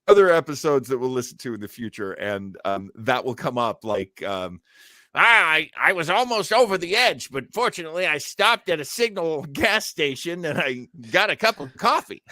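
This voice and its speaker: A man's voice: on the high side, very animated, moderate pace, sharp tone, no room sound. A man hosting a show in casual, chatty commentary. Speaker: host of an old-time radio show podcast.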